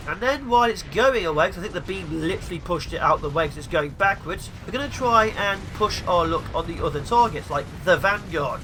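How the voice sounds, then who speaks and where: A young man with the flu, sounding sniffly, giving excitable let's play commentary. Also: high voice, background noise. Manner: excitable, mutters at enemy ships. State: sniffly with flu, sore throat. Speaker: gaming YouTuber.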